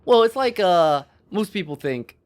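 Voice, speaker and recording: A man speaks as a podcast co-host in an informal discussion. His delivery is halting and exploratory, as he works out his thoughts aloud and often restarts mid-sentence. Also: sits high, lively intonation. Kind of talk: casual discussion of storytelling.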